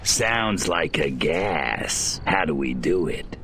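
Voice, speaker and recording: Smug alien invader, very deep, very slow drawl, sneering and wisecracking, faint hiss. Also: male voice, expressive, a bit bright, heavily acted. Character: cocky alien invader, male, smug and sardonic. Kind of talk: video game cutscene acting.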